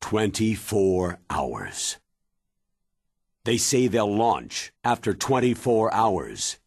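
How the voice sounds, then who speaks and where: An actor playing a stern military commander briefing an agent in a video game, grave and measured in manner. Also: male voice, fairly low pitch, big pitch swings, darkish tone, dry recording, clean studio sound, full character voice. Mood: instructive. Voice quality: husky, weighty. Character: stern, authoritative older military commander giving mission briefing. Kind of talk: dramatic video game cutscene acting.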